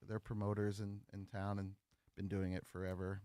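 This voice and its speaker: A man's voice: low-pitched, monotone, normal speed, warm tone, no echo, studio quality. A man guest on a radio show talking about a festival he helps run, easygoing and prone to repeating words as he starts. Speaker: festival promoter and sound engineer guest. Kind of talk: casual interview chat.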